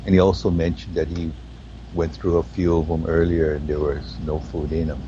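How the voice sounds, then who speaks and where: A man with a Hawaiian accent talking in a spiritual conversation, slow and halting as he searches for words. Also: extremely low pitch, flat delivery, lo-fi sound, noisy background. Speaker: guest.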